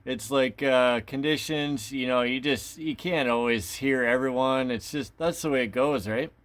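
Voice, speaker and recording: A man with an average-pitched voice chats casually as a radio operator. He is affable and easygoing in his wording, with hesitant phrasing. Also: normal speed, a bit bright. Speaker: amateur radio operator, video maker. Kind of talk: casual radio contact chat.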